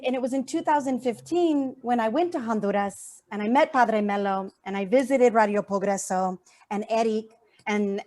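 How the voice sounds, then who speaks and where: A woman's voice: fairly high pitch, a little mellow. A woman speaks as an activist at an online event. She is earnest and heartfelt, repeating key phrases for emphasis. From San Francisco Bay Area, USA.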